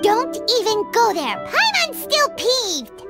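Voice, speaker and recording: A woman voicing a tiny, childish, excitable fairy companion in a squeaky cartoonish game voice. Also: takes it slowly, loud music behind the voice, heavily acted. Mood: peeved. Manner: refers to self in third person, exclamatory.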